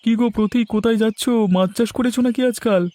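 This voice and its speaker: High, quick, curious village neighbour played by a man. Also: steady tone, dark tone, no echo. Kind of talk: Bengali cartoon dialogue.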